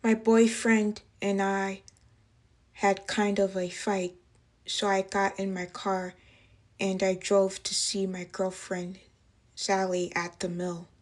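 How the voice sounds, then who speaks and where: A woman playing a traumatized young waitress in a dramatic monologue, speaking haltingly in broken phrases. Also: mid-range voice, level tone, close to an everyday voice. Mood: subdued, hesitant. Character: traumatized young working-class waitress recounting an assault. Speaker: actress performing a film monologue.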